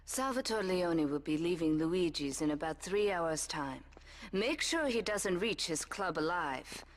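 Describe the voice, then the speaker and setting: A woman's voice: lowish voice, lively intonation, unhurried. An actress playing a cold, commanding Yakuza woman boss who gives curt, dryly seductive orders in a video game cutscene. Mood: cool command.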